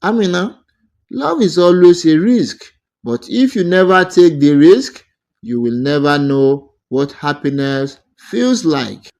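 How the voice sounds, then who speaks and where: A man voicing a gentle, patient city suitor in a folktale, speaking with reassurance. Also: a little high, lively intonation, slow. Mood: tender, patient.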